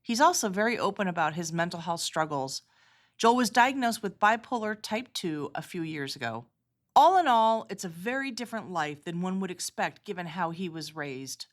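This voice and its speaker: A woman hosting a podcast with measured, articulate scripted narration and interview questions. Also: on the low side, animated. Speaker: podcast host, healthcare executive.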